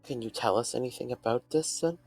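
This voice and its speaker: A man with a medium-pitched voice plays a tabletop roleplaying game. He talks casually as one of the players, with music in the background. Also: fairly quick, darkish tone, husky.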